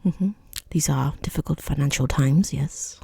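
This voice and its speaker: A person voicing a rude, condescending boss in a soft-spoken ASMR roleplay, with a breathy half-whisper, hesitant pauses and dryly mocking, falsely polite understatement. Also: medium pitch, no room sound, exaggerated voice. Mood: probing, dry. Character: rude, condescending boss conducting a job interview.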